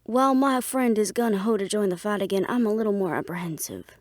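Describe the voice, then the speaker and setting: An actress plays a guarded, businesslike woman in a supernatural audio drama. Her voice sits at an average female pitch, with serious, measured delivery. The recording is very dry, with hardly any room sound. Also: darkish tone, no room sound, natural voice. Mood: measured, sincere. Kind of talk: audio roleplay drama, scripted dialogue.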